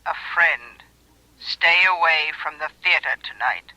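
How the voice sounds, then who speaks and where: A man's voice: on the high side, measured pace, bright, forceful tone, no echo, low-quality recording. An actor playing a mysterious, insistent male caller through a telephone filter in an old-time radio drama. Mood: ominous, insistent.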